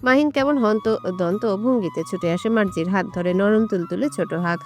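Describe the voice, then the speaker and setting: A woman's voice: medium pitch, normal speed, a little mellow. Female story narrator, mid-range voice, scripted romance reading, light music bed.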